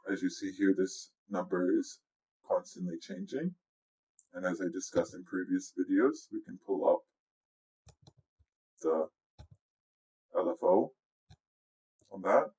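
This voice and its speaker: A man talking informally through a music software tutorial as a producer, explaining things step by step over a screen recording. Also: low-pitched, unhurried, pauses often, husky, rough recording. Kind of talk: casual software walkthrough.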